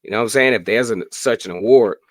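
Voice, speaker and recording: A hoarse man in his late thirties hosting a casual sports podcast live stream, chatting freely and answering viewer comments. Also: lowish voice, fast talker, dry recording. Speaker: podcast host.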